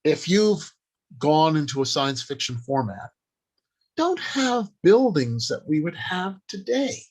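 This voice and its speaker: A man presents an informal workshop on the craft of writing at a slow pace. He delivers his advice deliberately, in short phrases broken up by pauses. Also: fairly high pitch, sing-song. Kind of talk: informal instructional talk on writing.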